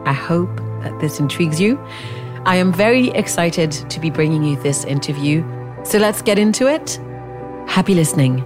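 A woman's voice: low voice, expressive, moderate pace, music in the background. A woman hosting an interview podcast, introducing her guest and talking with her.

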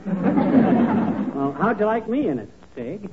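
An actor playing a folksy, blustery, wisecracking husband in a 1940s radio comedy, using slangy everyday talk. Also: male voice, sits high, sing-song, slow pace, over a noisy crowd, full character voice. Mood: breezy. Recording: scratchy, tinny 1940s radio recording.

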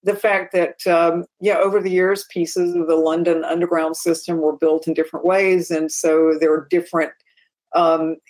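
A woman's voice: on the low side, flat delivery, moderate pace, a little mellow, rough recording. A woman co-hosting an aviation talk show, explaining points in a casual but emphatic way. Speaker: podcast co-host.

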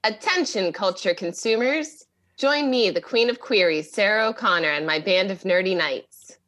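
A woman's voice: mid-range voice, lively intonation, normal speed, a bit bright. A woman hosting a podcast, reading a theatrical scripted promo. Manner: theatrical announcer.

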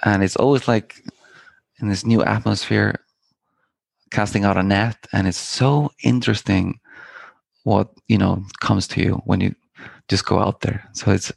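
A man's voice: fairly low pitch, fairly even intonation, a little slow, gravelly, long pauses, breathy edge, no echo. A middle-aged man from Iceland, a filmmaker guest talking in a reflective, meandering, poetic way with many fillers and restarts. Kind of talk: reflective interview talk.

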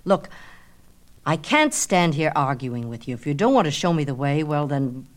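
A woman's voice: deep voice, sing-song, moderate pace, pitched below the performer's own voice. A woman voicing a vain, scornful young man of Greek myth in an impulsive, emphatic way, as in a storybook reading. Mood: scornful, impatient. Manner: emphatic, exclamatory.

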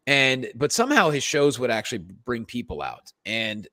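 Male comedy co-host, mid-pitched, blunt and sweary, casual delivery, dry close sound. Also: sing-song, normal speed, sharp tone, no echo. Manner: blunt, profane. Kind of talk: casual chat, comedy shop talk.

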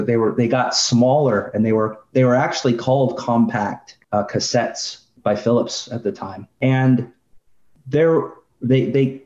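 A middle-aged man co-hosting a podcast, debating in casual, slangy, animated storytelling style. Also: mid-range voice. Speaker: podcast co-host. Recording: roomy, dull lo-fi sound.

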